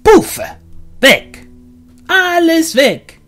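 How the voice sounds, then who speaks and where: A man voicing a fat, sweaty, irascible male magister who shouts in shrill, furious outbursts. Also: very animated, extremely slow, exaggerated voice. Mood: furious ranting.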